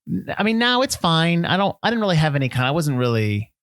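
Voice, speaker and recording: A man's voice: on the high side, fast talker, no room sound, clean studio sound. Jokey male podcast co-host. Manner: jokey, animated, acts out scenes in silly voices. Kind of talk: casual film discussion.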